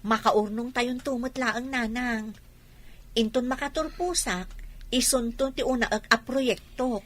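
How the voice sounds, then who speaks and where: A dutiful, hopeful young daughter in a radio drama. Her voice is fairly high and bright with a husky edge, and she speaks earnestly and respectfully. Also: measured pace. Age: young adult. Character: earnest, dutiful daughter with big business dreams.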